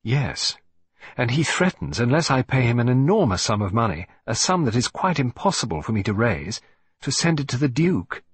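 A man's voice: medium pitch, very animated, normal speed, slightly rough, no room sound. A man voicing a frightened young upper-class lady, hesitant and pleading. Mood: distressed. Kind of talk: audiobook reading.

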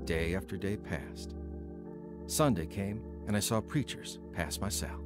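A man's voice: deep voice, a little slow, over music. An actor playing a troubled, hot-tempered working man recounting his past in a dramatic radio play. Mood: brooding, regretful. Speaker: narrator and lead actor. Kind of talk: first-person dramatic radio narration and acting.

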